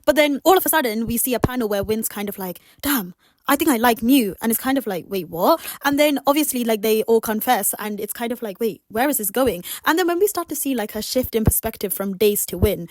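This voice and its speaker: Hoarse, fast-talking woman reviewer. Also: fairly high pitch, animated, dry recording. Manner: rambling, filled with "like" and "you know". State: hoarse, sore voice. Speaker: webtoon reviewer, channel host. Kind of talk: casual review chat to camera.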